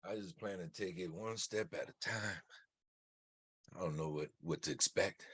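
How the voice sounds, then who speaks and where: Man reading as bright-voiced guarded young soldier. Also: deep voice, normal speed, breathy edge, no room sound. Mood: quiet, tender. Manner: plain-spoken, colloquial. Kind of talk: live screenplay table read.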